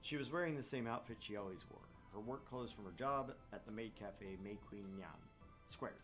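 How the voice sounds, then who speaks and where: A man's voice: lowish voice, normal speed, a bit bright, faint music behind. A man hosting a gaming video, reading a visual novel aloud and adding casual, joking commentary.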